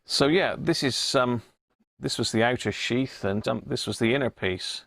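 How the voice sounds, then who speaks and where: A man's voice: medium pitch, moderate pace, vocal fry, a bit bright, dry recording. A middle-aged man with an English accent chatting informally on a live stream, rambling and thinking aloud as he demonstrates things. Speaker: live stream host of a radio hobby channel. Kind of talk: casual live chat with demonstration. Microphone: wireless body-pack microphone.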